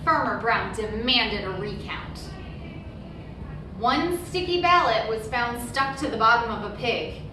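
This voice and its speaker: A woman reading a children's picture book aloud as a storyteller, with deliberate, emphatic phrasing and brief asides. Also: on the high side, animated. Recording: very echoey room, distant mic, noisy background.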